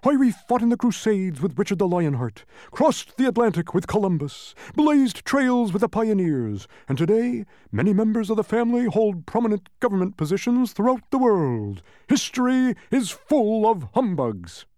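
A blustering old fraud of a beetle from a storybook. The voice booms at a raised pitch with huge swoops, grand, boastful and pompous. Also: male voice, very animated, moderate pace, warm tone, no echo, clean studio sound. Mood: grandly boastful. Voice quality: booming. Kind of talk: animated storybook character reading.

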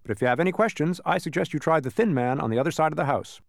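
A man's voice: a little high, animated, rapid-fire, creaky, no room sound, clean studio sound, pitched above the performer's own voice. A man voicing a cheerful, proud, absent-minded ordinary fellow in a storybook, chirpy and emphatic. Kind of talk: audiobook character voice.

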